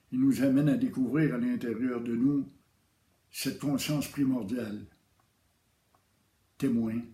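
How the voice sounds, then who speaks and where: A man giving a calm, measured spiritual teaching, repeating key phrases. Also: medium pitch. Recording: roomy echo.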